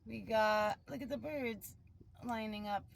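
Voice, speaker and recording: A woman's voice: on the high side, a little slow, gravelly, a little hiss or hum. A woman talking casually and informally, telling an improvised story in a rambling way with plenty of filler "like".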